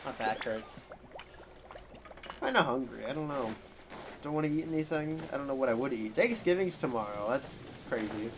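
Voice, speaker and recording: A man's voice: mid-range voice, animated, moderate pace, pauses often, noisy background. Casual, rambling male gaming streamer. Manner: rambling, joking. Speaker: livestreamer. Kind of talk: casual live gaming commentary. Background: game audio.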